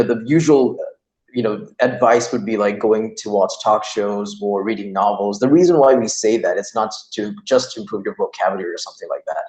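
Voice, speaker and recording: A man's voice: on the low side, warm tone, roomy sound, poor audio. A young man from Iran giving an online training talk, chatty and full of "you know" and "like". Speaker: workshop presenter. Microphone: video call.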